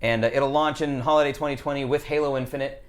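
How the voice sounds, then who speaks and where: A man hosts a gaming podcast in easy, rambling chat. He jokes, restarts his sentences and fills gaps with ums, at an ordinary middle pitch. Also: steady tone, quick pace. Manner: rambling, many fillers and restarts, joking. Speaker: podcast host. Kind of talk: casual chat.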